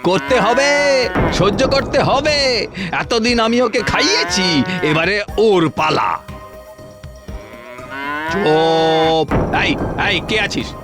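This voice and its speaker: A man's voice: sits high, slow, long pauses, over music. A man playing a greedy, bossy village husband in a fairy-tale cartoon, with an insistent, exaggerated, repetitive way of talking. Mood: bossy, stubborn.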